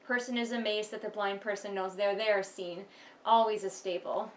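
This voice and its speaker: A woman's voice: mid-range voice, steady tone, normal speed, roomy sound, rough recording. A woman giving casual, mocking commentary as she reads along with a book, sarcastic and exasperated. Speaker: host of a book read-along.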